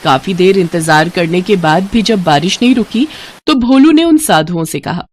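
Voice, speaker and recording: A woman narrating a bedtime cartoon story in an expressive, scripted storybook style. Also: on the low side, normal speed, slight background noise.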